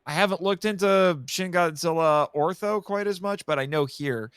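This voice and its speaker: A man's voice: high voice, moderate pace, fairly bright tone, smooth, no echo, studio quality. A man in a casual film discussion, speaking enthusiastically and repeating phrases for emphasis like an expert guest. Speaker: podcast guest and monster movie expert.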